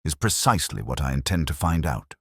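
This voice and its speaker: A man playing a calm, shrewd police inspector in a mystery story, gentle and measured in manner. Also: very deep voice, breathy edge, dry recording, studio quality, heavily acted. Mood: deliberate.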